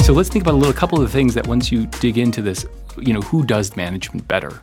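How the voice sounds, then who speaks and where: A man interviewing a researcher, enthusiastic and quick to affirm, asking eager questions. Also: medium pitch, over music. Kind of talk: conversational interview.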